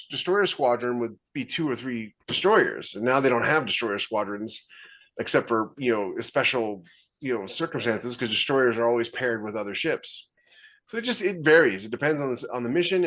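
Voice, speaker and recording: A man with a middling pitch speaks as a panelist on a podcast video call. His delivery is casual and matter-of-fact as he explains. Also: a bit bright, low-quality recording. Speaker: podcast panelist, military veteran.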